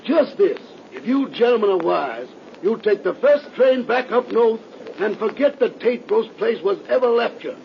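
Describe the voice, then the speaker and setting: A man's voice: high-pitched, lively intonation, moderate pace, vocal fry, a little hiss or hum. A man playing a fearful, folksy rural driver with a Southern US accent, giving ominous warnings in a muffled 1930s radio drama.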